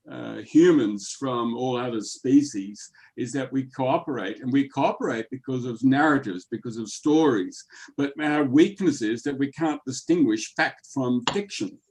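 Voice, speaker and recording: A man's voice: a little high, a little mellow, rough recording. A man who grew up in the Netherlands, taking part in a group book discussion, emphatic and prone to stammering and repeating words.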